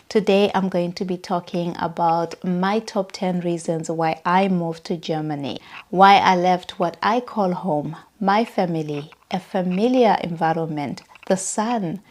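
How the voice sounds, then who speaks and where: A woman's voice: on the low side, a little slow, darkish tone. A woman in her thirties with a Southern African accent, talking to camera in a personal vlog. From Zimbabwe and South Africa. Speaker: vlogger. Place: bedroom, indoors.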